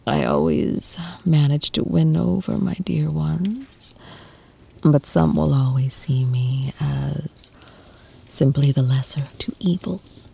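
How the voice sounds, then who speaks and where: A woman playing an ancient, tender, protective vampire lover in an ASMR roleplay, speaking in a soft, breathy, soothing whisper close to the mic. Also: sits low, animated, slight background noise, heavily acted. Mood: tender, confiding. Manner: slow, soothing, intimate murmur with lingering pauses.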